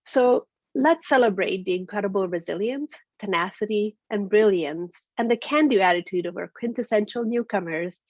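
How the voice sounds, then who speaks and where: A woman's voice: medium pitch, normal speed, hardly any pauses, no room sound. Earnest woman speaking over a video call. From Transylvania. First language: Hungarian. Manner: earnest, with frequent fillers and restarts. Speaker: executive director, guest speaker. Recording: dull lo-fi video call audio.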